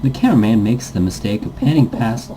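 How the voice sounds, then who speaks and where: A man's voice: medium pitch, lively intonation, a little fast, mellow, slight background noise. A man reading a story aloud as a let's player, stumbling over words now and then and breaking into goofy asides. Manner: stumbles over text and self-corrects, goofy. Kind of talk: story narration read aloud with playful asides.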